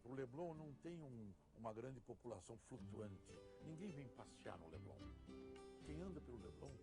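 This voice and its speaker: A man's voice: mid-range voice, sing-song, normal speed. A man, a writer, explaining his neighborhood in an interview. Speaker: soap opera writer. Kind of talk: interview talk. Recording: clear music behind, guitar.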